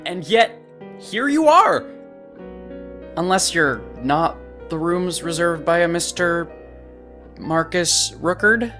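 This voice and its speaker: A man's voice: on the high side, lively intonation, slow, long pauses, sharp tone, faint music behind, full character voice. Man playing a theatrical, chatty hotel clerk. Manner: wordy, dramatic, chatty. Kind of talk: visual novel voice acting.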